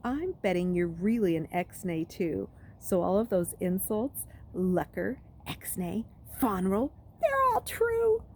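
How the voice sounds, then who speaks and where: A woman's voice: mid-range voice, very animated, takes it slowly, few pauses, a little mellow. Lady reading as a jeering boy ringleader, scornful, storybook voice, swoops all over, faint noise behind. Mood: gleeful scorn. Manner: taunting, jeering. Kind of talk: storybook read-aloud character voice.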